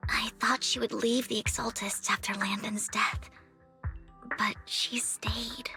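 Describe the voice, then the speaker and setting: Earnest, high-voiced young game heroine. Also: female voice, fairly even intonation, slow, sharp tone, full character voice. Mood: subdued, sad. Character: earnest, worried, sentimental young woman heroine. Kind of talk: scripted video game voice acting. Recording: game music clearly behind the voice.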